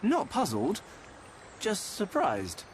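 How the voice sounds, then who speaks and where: A man's voice: sits high, wildly swooping pitch, slow, background noise, exaggerated voice. A man with a British accent playing an earnest, friendly young hero in a video game. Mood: puzzled. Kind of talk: dramatic video game acting.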